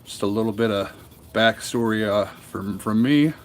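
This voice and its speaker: A man's voice: lowish voice, normal speed. A man with a nasal voice, a woodturner chatting casually and rambling while live-streaming from his cold workshop. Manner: rambling and chatty, answers viewer comments. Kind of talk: casual livestream chat.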